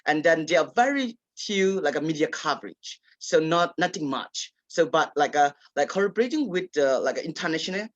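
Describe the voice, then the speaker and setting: A man's voice: fairly high pitch, animated, no echo, lo-fi sound. Man, dry close sound. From Kachin State, Myanmar. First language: Kachin. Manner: halting, many fillers and restarts. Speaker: local journalist, panelist. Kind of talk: panel discussion answer.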